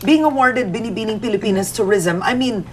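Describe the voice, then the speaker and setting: A woman in her forties hosting a TV talk show, chatting and teasing her guests in a bubbly way, mixing English and Tagalog. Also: on the high side. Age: 40s. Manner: bubbly, teasing, quick to laugh. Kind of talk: casual studio chat mixing English and Tagalog. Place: TV studio.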